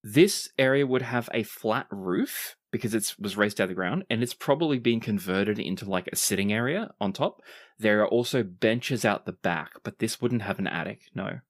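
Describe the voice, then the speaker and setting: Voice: medium pitch, normal speed. A game master and host narrating an improvised tabletop game in a conversational, descriptive way.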